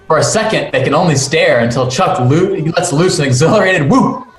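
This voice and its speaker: A man's voice: on the high side, expressive, brisk, background noise. A man reading stage directions aloud as screenplay narration at a cast table read. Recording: echoey room.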